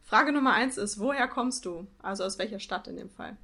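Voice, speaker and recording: A woman hosting an interview, friendly and laughing often, asking questions at an unhurried pace. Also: mid-range voice, sharp tone, faint music behind. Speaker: interview host. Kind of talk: casual interview chat.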